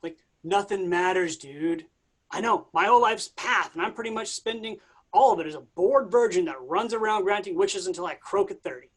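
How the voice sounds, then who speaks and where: A woman's voice: medium pitch, brisk, husky, lightly acted. A woman playing a vain, bored young male messiah who talks in slacker slang, in a staged reading. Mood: bored, offhand.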